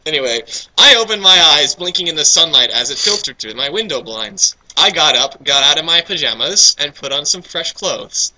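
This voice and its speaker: A man's voice: fairly high pitch, expressive, normal speed, hardly any pauses, pushed and bright, rough recording. A young man co-hosting a joking fan fiction read-along, theatrical and sweary as he reads and riffs. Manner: theatrical, profane, riffing. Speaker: co-host reading fan fiction aloud.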